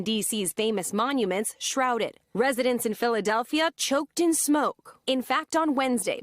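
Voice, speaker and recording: A female news correspondent narrating a scripted report in a crisp manner. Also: a little high, no room sound, studio quality.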